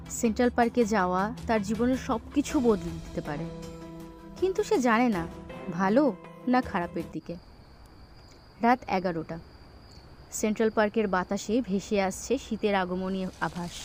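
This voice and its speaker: A woman's voice: on the high side, a little mellow. A woman narrating a scripted suspense story in a dramatic way.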